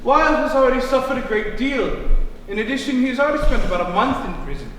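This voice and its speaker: A young stage actor playing an earnest Victorian barrister pleading for leniency. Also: male voice, extremely high pitch, lively intonation, quick pace, fairly bright tone, a little hiss or hum. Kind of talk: stage play performance. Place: theater stage. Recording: echoey theater from far off.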